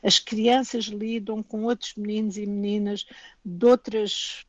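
A woman's voice: mid-range voice, level tone, unhurried, dry recording. An older woman from Portugal with a European Portuguese accent, a guest speaking thoughtfully with frequent restarts and asides in a remote interview. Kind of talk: remote interview, reflective conversation. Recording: dull lo-fi remote line.

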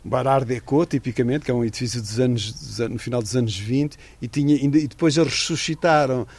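A man's voice: mid-range voice, fast talker, a little mellow, slightly rough. Man telling stories with frequent restarts. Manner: thoughtful, digressive, many restarts. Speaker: author and former correspondent. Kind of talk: radio interview, conversational.

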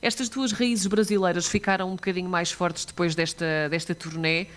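High-voiced male co-host. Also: fairly even intonation, normal speed, a bit bright, slight background noise. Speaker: radio co-host. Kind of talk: live radio interview.

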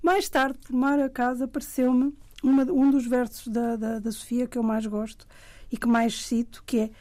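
A woman's voice: on the high side, little pitch movement, moderate pace, darkish tone. A woman writer with a European Portuguese accent, chatting reflectively and self-deprecatingly, often restarting her sentences. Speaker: writer and panel guest. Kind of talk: casual conversation.

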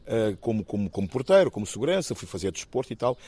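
A man in his fifties from Guinea-Bissau, talking about his life in an interview, thoughtful and halting, with many restarts. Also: fairly low pitch, sing-song, warm tone, breathy edge. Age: 50s. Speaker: interviewee, actor and musician. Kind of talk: reflective personal interview.

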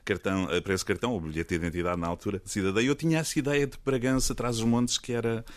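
Low-voiced Portuguese man hosting a chat. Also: steady tone, fast talker, no echo. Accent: European Portuguese. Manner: chatty, laughs often, searches for words. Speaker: radio host. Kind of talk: relaxed radio interview.

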